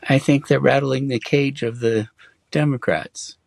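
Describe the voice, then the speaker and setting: A middle-aged man raised in Germany, answering interview questions in a halting, hesitant way with many fillers. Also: medium pitch, fairly even intonation, vocal fry, a little mellow, a little hiss or hum. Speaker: interview guest. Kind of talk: casual conversation.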